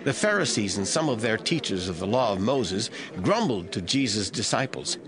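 A man narrating a scripture audio drama from a script in a deliberate storytelling manner. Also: fairly low pitch, sing-song, crowd noise. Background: faint music.

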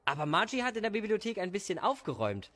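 A man's voice: high voice, sing-song, fast talker, a bit bright, full character voice. A man playing an easygoing village father, casual and slightly defensive, in an audio drama.

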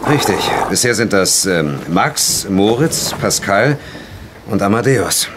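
An actor playing a careful, serious horse veterinarian in an audio drama, measured and instructive. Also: male voice, lowish voice, lively intonation, background noise. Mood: cautioning.

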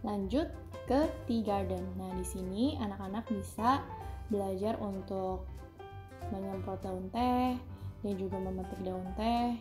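A woman's voice: medium pitch, a little slow. A woman giving a casual vlog voice-over as she narrates a family outing. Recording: music behind the voice. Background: clear background music.

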